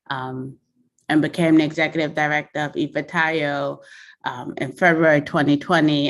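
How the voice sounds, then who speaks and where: A woman who is an executive director, sharing a measured, reflective account with a group, with frequent ums. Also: low-pitched. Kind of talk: group reflection on a video call.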